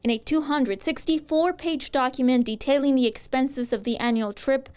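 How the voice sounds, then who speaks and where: A woman news reporter narrating a story in measured voice-over. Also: sits high, darkish tone, a little hiss or hum.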